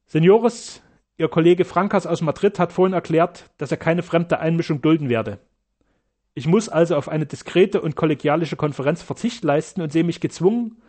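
Fearless German doctor, heated and formal, voiced a touch high by a male reader. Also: a little mellow, close to an everyday voice. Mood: urgent.

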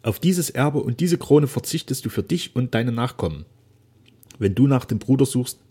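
A man reading aloud voices a dying old duke speaking solemnly and gravely to his daughter. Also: medium pitch, expressive, normal speed, mellow, voice pushed up. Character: dying proud old duke, remorseful father.

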